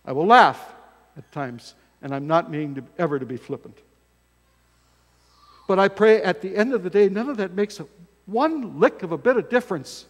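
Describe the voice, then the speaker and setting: An older man preaching from a church pulpit, folksy and emphatic, poking fun at himself as he goes. His voice sits a little high for a man, and it rings out with a long hall reverb that fills the sanctuary. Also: lively intonation, normal speed. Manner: folksy, self-deprecating, emphatic. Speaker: pastor. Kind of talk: sermon. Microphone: fixed camera from across the church.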